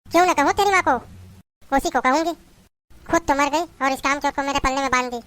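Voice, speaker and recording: A bitter, scolding mother-in-law, voiced by a woman in a domestic drama. She hurls insults and complaints in a high-pitched voice. The recording is dry, with no room echo. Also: quick pace, fairly bright tone. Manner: taunting, berating, insulting. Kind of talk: family drama acting.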